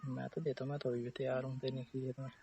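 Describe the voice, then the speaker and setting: Gravelly, husky man in flirty phone chat. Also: mid-range voice, little pitch movement, rapid-fire, warm tone.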